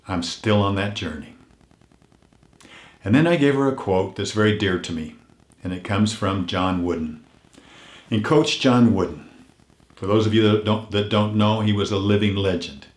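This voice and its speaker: An older man hosting a weekly motivational message, earnest and unhurried, his voice a little hoarse as if he is losing it, often dropping to a hush on heartfelt points. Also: on the low side, reverberant. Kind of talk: reflective motivational talk.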